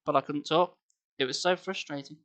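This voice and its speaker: A man's voice: on the high side, fairly even intonation, brisk, a bit bright, lo-fi sound. A man reading a story aloud on a live stream, theatrical and playful, with casual asides.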